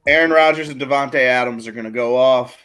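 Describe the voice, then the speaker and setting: A man's voice: medium pitch, a little fast, a crowd in the background. A man in his thirties giving casual sports analysis as a panelist on a livestream. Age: 30s. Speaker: panelist giving fantasy football picks.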